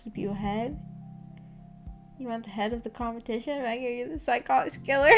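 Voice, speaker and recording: A woman with a fairly high voice hosts a casual livestream. She rambles along in a giggly, self-deprecating way as she chats with her viewers. Soft music plays faintly behind her. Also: animated, moderate pace. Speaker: VTuber streamer and host.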